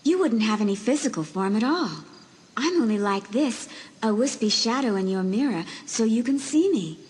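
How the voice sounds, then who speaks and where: A woman voicing a teenage girl's ghost in a mirror, soft, hushed and gently pleading. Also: fairly high pitch, expressive, moderate pace, breathy edge. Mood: coaxing. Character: faint ghostly teenage girl spirit in a mirror.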